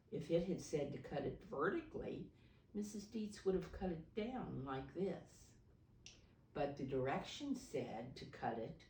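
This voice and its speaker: A woman reading a picture book aloud to small children, pausing to ask simple questions and explain. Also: deep voice. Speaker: preschool storybook reader, teacher figure. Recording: roomy echo, distant mic.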